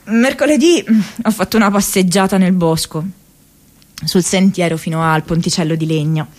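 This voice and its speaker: A woman's voice: lowish voice, moderate pace, close to an everyday voice. An actress playing a restless, lovelorn and self-mocking young woman in a theatrical, emotional radio monologue. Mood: reflective, tender. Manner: theatrical, emotional, with repeated phrases.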